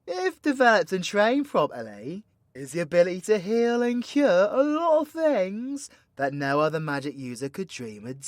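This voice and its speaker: A man's voice: fairly high pitch, no room sound. A man playing a cocky, foul-mouthed, playfully teasing supervillain mentor in an audio roleplay, with a British accent and a slangy, swaggering, theatrical delivery. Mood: boastful, explaining. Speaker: voice actor. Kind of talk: villain ASMR audio roleplay, addressing the listener.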